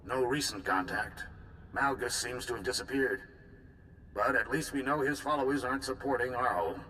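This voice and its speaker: An actor playing a blunt male supreme commander in a video game, brisk and authoritative. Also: mid-range voice, bright, forceful tone, a little hiss or hum, natural voice, poor audio. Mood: commanding. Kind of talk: game voice acting.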